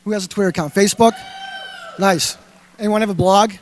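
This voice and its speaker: A man with a Boston accent giving a lively stage talk, firing questions at the audience with plenty of fillers. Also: high-pitched, brisk, slight background noise. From Massachusetts. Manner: punchy, rhetorical questions to the crowd, filler words. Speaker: speaker at a live talk event. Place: indoor event hall.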